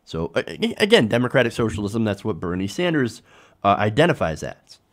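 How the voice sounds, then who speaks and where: A man's voice: on the low side, sing-song, fairly quick. An American man hosting opinionated political commentary, speaking emphatically and punctuating his points with rhetorical questions.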